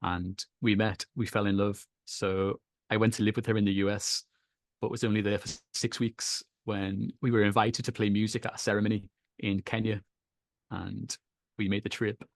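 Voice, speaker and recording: A man with a Liverpool accent, a podcast guest telling his story in an easy, measured way, with small repeats. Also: on the low side, flat delivery, dry recording. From England. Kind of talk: relaxed storytelling in an interview. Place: home in Kenya.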